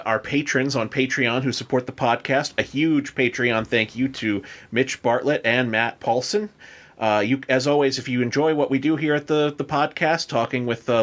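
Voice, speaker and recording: A man's voice: medium pitch, a bit bright. An American man hosting a podcast, chatting casually in an informal, rambling way. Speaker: podcast host.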